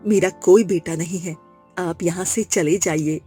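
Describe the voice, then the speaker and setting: A woman's voice: lowish voice, fairly even intonation, unhurried, dark tone, soft background music, voice pushed down, lightly acted. A woman voicing a serious, reproachful mother in a cartoon moral story. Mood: firm, rejecting. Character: Raju's worried mother, later cold and unrecognising.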